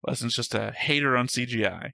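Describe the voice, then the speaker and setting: Bright teasing man in casual banter. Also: medium pitch, a little fast, gravelly, dry recording. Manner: teasing, mocking, argumentative. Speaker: reaction show co-host. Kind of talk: casual banter reacting to anime.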